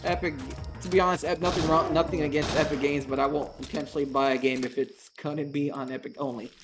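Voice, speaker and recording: A man in his thirties streaming a game and chatting with friends, excitable and quick to swear and laugh. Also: fairly high pitch, over music. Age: 30s. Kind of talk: casual gaming stream chat. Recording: game noise clearly behind.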